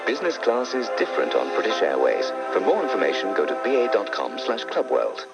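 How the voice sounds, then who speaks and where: A man's voice: lowish voice, sing-song, fairly quick, vocal fry. A man reading a scripted airline radio commercial as the announcer. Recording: dull lo-fi radio ad, loud music.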